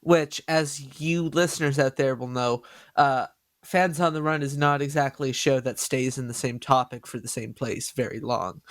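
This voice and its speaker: A man hosting a podcast in a casual chat, with a nasal voice, excitable and stumbling over his words. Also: medium pitch, dry recording. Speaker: podcast host.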